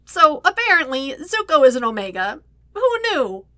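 An indignant young man from a fan-fiction audiobook, acted out by a woman. The voice is bright and dry, with emphatic, sarcastic phrasing and an air of put-upon responsibility. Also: sits high, very animated, normal speed, no room sound, voice pushed up, full character voice. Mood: strained levity. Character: exasperated, dutiful young man, sputtering and indignant.

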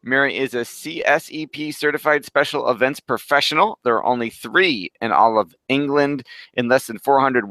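A man's voice: mid-range voice, pushed and bright, dry recording. A man hosting an interview podcast, eager and complimentary with his guest. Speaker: host.

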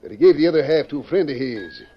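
A man's voice: fairly high pitch, sing-song, quick pace, slightly rough. An actor playing a wary, laconic man posing as a stranger in town, in an old radio western. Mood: casual, confident. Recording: muffled vintage radio recording.

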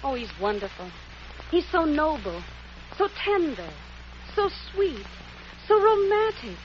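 A sassy young cook. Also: female voice, sits high, sing-song, unhurried. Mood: dreamy. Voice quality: sassy, teasing. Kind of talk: 1940s radio acting. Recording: dull old radio broadcast with hiss.

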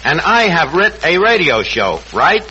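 A cocky new york tavern manager, high sharp voice, mangles grammar, old noisy radio show. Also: male voice, sing-song, measured pace, heavily acted. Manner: pompous malapropisms and slangy grammar. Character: brash, scheming tavern manager who mangles his words. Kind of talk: 1940s radio comedy acting.

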